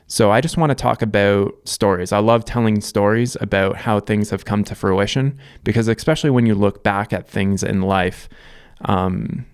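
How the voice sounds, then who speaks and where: Low, smooth-voiced Canadian man. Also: steady tone, moderate pace, no room sound, clean studio sound. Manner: reflective, loose, lots of fillers and restarts. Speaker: vlogger. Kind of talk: casual life-story monologue.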